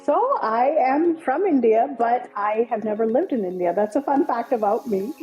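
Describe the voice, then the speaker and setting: A woman's voice: fairly high pitch, lively intonation, moderate pace, darkish tone, lo-fi sound, soft background music. A woman film director from Nepal, speaking as an interview guest in an upbeat, enthusiastic way with many fillers. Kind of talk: casual interview.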